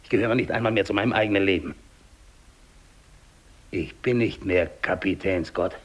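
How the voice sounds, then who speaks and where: An actor playing a dying, despairing English polar explorer alone, brooding and halting, in a 1950s German radio drama monologue. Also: male voice, low voice. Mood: desperate, bitter. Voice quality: gravelly, husky. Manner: halting, brooding, repeats words, hushed to declamatory.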